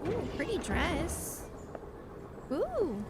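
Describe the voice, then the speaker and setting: A woman's voice: very high-pitched, huge pitch swings, drawn-out words, very noisy. A woman livestreamer giving casual reactions as she watches a game. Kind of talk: casual live reaction commentary. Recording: loud game music behind the voice.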